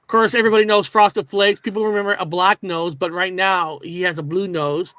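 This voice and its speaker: Co-host, male, high and bright, loose rambling delivery, dry sound. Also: steady tone, fairly quick, smooth, no echo. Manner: rambling, repeats filler words. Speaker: co-host of a paranormal talk show. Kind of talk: casual live-stream chat.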